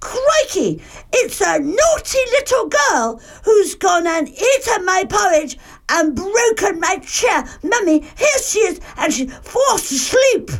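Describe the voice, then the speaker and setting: Storybook baby bear boy, played by a woman: very high, whiny, squealing, excitable and tearful. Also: takes it slowly, full character voice. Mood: excited alarm. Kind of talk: children's storybook character voice.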